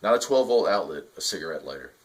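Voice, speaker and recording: Casting: American male, 40s, low-pitched, matter-of-fact hobbyist host giving a casual product explainer. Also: very animated, fast. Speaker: host of a radio hobby channel. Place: small indoor radio workshop.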